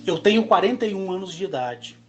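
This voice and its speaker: A Brazilian man in his forties, speaking in a slow, emphatic monologue broken into short phrases. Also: high-pitched, expressive, highs cut off. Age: 40s. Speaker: channel host. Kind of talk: monologue to camera.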